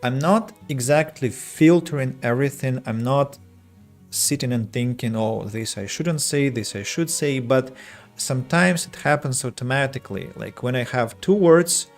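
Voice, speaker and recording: A young adult man from Russia with a Russian accent, streaming a strategy game and chatting with viewers, choosing his words slowly and carefully with frequent restarts. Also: medium pitch, soft background music. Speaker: streamer and English teacher. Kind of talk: casual gameplay streaming chat.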